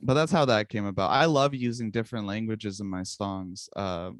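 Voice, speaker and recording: A man's voice: fairly low pitch, normal speed, dry recording, clean studio sound. A young man whose first language is Czech, chatting casually as a guest musician, rambling and joking at his own expense. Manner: rambling, self-mocking, laughs often. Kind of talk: casual interview chat.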